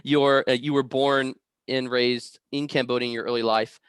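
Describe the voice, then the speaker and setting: A man from the United States conducts an informal online interview. His voice is clean and smooth, with a dry sound and a middle pitch. He speaks haltingly, often hesitating as he builds a question. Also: little pitch movement, a bit bright, no echo.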